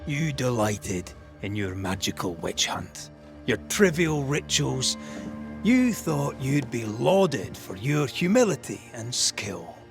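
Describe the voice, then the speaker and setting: A stern investigator handing down judgement in a dramatic game. His mid-pitched voice moves slowly and deliberately over clear music. The tone is grim and scathing. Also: big pitch swings, full character voice. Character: stern, judging male investigator delivering a grim verdict. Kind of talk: dramatic video game monologue.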